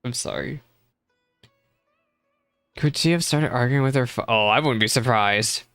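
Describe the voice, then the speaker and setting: A man giving casual live commentary on a video game, chatty and expressive, with sudden excited outbursts. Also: medium pitch, unhurried, no echo, clean studio sound, faint music behind. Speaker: streamer reacting to a game.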